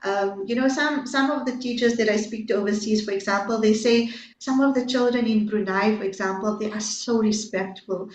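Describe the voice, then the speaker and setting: A woman's voice: fairly high pitch, moderate pace, a little hiss or hum. A woman hosting a podcast interview, asking long, rambling questions full of fillers and restarts. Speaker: podcast host. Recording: roomy, dull lo-fi sound.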